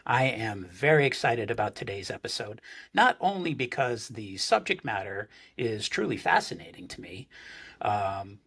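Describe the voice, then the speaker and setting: Husky, gravelly-voiced male podcast host. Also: medium pitch, fairly bright tone. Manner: earnest, with frequent fillers and restarts. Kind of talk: interview podcast.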